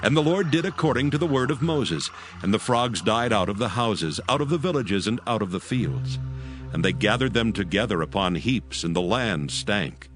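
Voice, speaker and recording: A man's voice: fairly low pitch, lively intonation, normal speed. A man giving a solemn, formal scripted narration of scripture. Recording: prominent music and effects behind.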